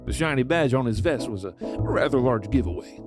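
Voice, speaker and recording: A man's voice: medium pitch, animated, fairly quick, over music, lightly acted. A man playing a sly outlaw newcomer in a Western audio drama, narrating and chatting in a casual, wry way. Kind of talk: first-person narration and dialogue in audio drama.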